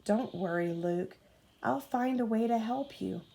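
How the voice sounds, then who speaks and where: Storybook hero engine, male, encouraging and earnest, slow delivery, voiced by a woman reader. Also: on the high side, vocal fry, warm tone. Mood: kind. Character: cheerful, helpful male tank engine.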